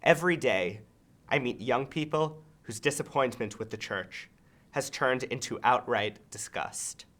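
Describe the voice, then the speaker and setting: Mid-pitched man reading formal prose. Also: measured pace, fairly bright tone. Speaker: reader. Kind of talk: public reading of a historic letter.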